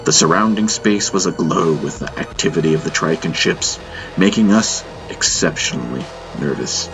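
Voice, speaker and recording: A man's voice: very deep voice, lively intonation, normal speed, over music. A man reading a science-fiction audiobook as a dramatic scripted narration.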